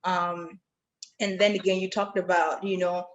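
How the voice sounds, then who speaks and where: A woman from Nigeria with a partly Americanized Nigerian accent, a podcast guest sharing personal stories in an open, animated way. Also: fairly low pitch, unhurried, muffled recording. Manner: open, storytelling, animated when recounting. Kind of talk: casual personal conversation.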